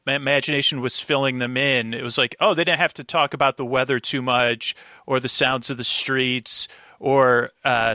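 Bright-voiced man rambling in casual conversation. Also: mid-range voice, no echo, studio quality. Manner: halting, rambling, circles back mid-sentence. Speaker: podcast guest, podcast creator. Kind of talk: casual interview chat, storytelling.

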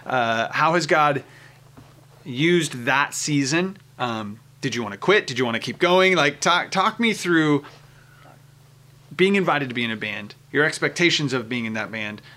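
Bright-voiced American man hosting a show. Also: mid-range voice, animated, measured pace. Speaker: show host. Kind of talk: conversational presenting and interviewing.

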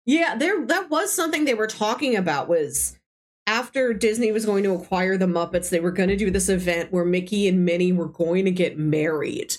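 A woman's voice: medium pitch, normal speed. A woman co-hosting a casual film discussion, explaining things in a rambling, conversational way peppered with "like". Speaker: podcast co-host. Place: indoors, recorded in person.

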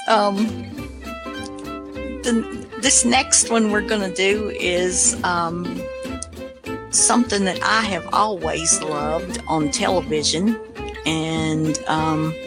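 A woman hosting a radio show, introducing the next piece casually and searching for words. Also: mid-range voice.

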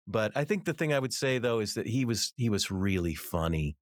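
Low-voiced American man, earnest storyteller. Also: very animated, a little fast, no echo, studio quality. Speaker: interview guest, author and ministry teacher. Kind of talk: conversational interview with anecdotes.

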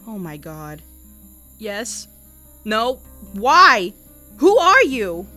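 A woman's voice: medium pitch, very animated, very slow, bright, forceful tone, faint music behind, exaggerated voice. A young woman playing an anxious, awkward seventeen-year-old girl in an audio drama, speaking in a hesitant, flustered way. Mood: stunned.

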